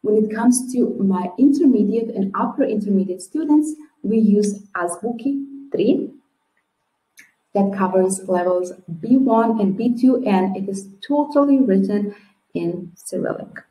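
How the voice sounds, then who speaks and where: A woman with a Serbian accent talks to her webcam from a small room at home. She goes slowly and pronounces each word with care, like a teacher modelling for beginners. The room throws back a noticeable echo. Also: medium pitch, animated, dark tone. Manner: slow and clear, with careful word-by-word modelling. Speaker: language teacher. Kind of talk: teacher's introduction video. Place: small room at home, classroom posters behind. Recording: echoey room.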